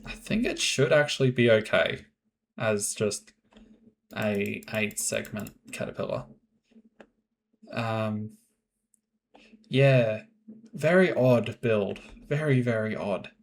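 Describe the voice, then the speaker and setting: A man hosting his own Minecraft building videos, chatting casually in a rambling, monotone way and laughing often. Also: takes it slowly, vocal fry, pauses often, slight background noise. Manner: monotone, fairly even pitch. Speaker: Minecraft builder and channel host. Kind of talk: casual gameplay commentary and voiceover.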